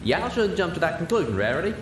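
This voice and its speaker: A man's voice: fairly high pitch, very animated, fast, roomy sound, recorded from a distance, background noise, voice pushed up. A man voices a storybook farm pony mare in a raised register. She has a Southern US accent and a folksy, stubbornly frank way of talking. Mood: warning. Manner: folksy, insistent.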